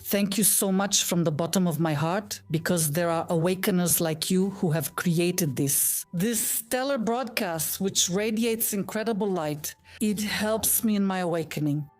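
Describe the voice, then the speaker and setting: A woman's voice: fairly low pitch, a little slow, hardly any pauses, darkish tone, faint music behind. Earnest fifty-year-old woman from Bulgaria. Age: 50s. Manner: earnest, heartfelt, reflective. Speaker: guest. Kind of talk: personal storytelling in an interview.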